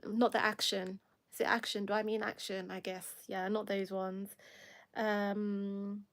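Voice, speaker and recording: A woman's voice: a little high, a little mellow. A woman with a Kenyan accent hosting a casual livestream chat, rambling and correcting herself with frequent laughter, sounding a bit tired. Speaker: livestream host.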